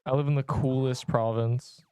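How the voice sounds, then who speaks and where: A man's voice: medium pitch, fairly even intonation, moderate pace, a little mellow, smooth, no room sound. A young man from Canada with a subtle Canadian accent, chatting casually and rambling while streaming a game. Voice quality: rambling, self-interrupting chatter. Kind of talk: casual live-stream chat while gaming.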